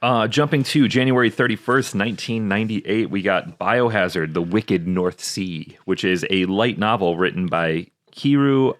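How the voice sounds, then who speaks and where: Lowish-voiced male podcast co-host. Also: moderate pace, few pauses, dry recording. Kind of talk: casual joking chat with some scripted reading.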